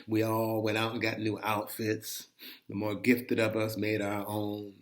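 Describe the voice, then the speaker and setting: A man reading a first-person story in a conversational, folksy way, animated and full of asides to the listener. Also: on the low side, measured pace. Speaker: reader of a personal chronicle.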